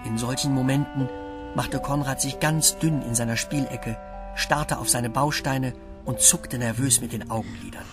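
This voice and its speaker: A woman's voice: extremely low pitch, moderate pace, music in the background. A woman narrating a children's radio play as a scripted storyteller.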